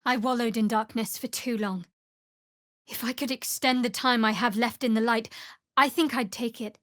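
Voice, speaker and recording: A woman's voice: a little high, steady tone, normal speed, no echo, natural voice. A woman playing a guarded, sardonic young female cleric in a video game, with a dry, aloof, clipped delivery. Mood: wistful, troubled. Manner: dry, aloof, clipped sarcasm with earnest undertones. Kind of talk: video game character voice acting.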